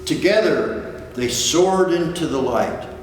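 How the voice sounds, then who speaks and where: Older guy doing a storybook read-along, slow but really up and down, echoey big room. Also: a little high, animated. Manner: expository, points out pictures to listeners. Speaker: guest storybook reader. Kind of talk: picture-book read-aloud with explanatory asides. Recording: distant, very echoey hall, faint noise.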